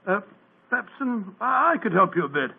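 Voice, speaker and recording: An actor playing a bumbling, blustery middle-aged doctor who stammers and hems, in 1940s radio acting on a tinny old broadcast. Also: male voice, high voice, very animated, a little fast, full character voice. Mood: brisk. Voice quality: husky, stammering with ums and uhs.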